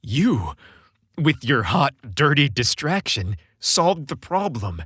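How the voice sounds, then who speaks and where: A man voicing a brainy young boyfriend in a romantic audio story, wry, excitable and bantering. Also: medium pitch, slow pace, slightly rough, no echo, clean studio sound, heavily acted. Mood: excited.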